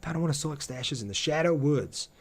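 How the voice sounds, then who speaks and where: A man's voice: fairly high pitch, animated, fairly quick. A man chatting casually and informally on a game livestream, reading out viewer comments and joking at his own expense.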